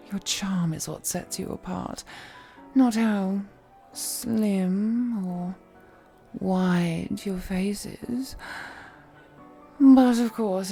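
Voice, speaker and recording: Woman acting as ancient flirty vampire lady, courtly and refined, really unhurried, some creak in the voice, roleplay audio w music behind. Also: mid-range voice, warm tone. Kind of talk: scripted roleplay audio, intimate one-sided dialogue to the listener.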